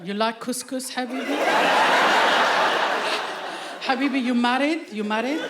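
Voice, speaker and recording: A cheeky woman tells stand-up jokes in quick street slang. She speaks from a theatre stage, and loud audience noise fills the gaps. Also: fairly high pitch. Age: 40s. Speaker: stand-up comedian. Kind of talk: stand-up set.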